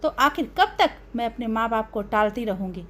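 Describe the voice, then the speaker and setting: A woman's voice: fairly high pitch, expressive, moderate pace, a bit bright. A woman voicing a pushy, callous young girlfriend in a story, speaking insistently. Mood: demanding.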